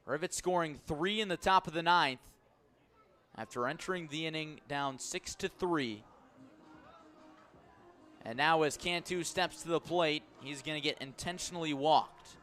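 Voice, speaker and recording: A man calling a baseball game live on radio as play-by-play announcer, measured between pitches and lifting his voice on the action. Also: soft background music. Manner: measured narration rising to excited shouts on key plays. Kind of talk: live sports commentary.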